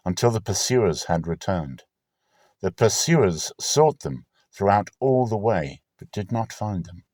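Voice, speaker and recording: A man's voice: fairly low pitch, a little mellow, no room sound. A man reading scripture aloud as a narrator, in a solemn, declamatory storytelling manner.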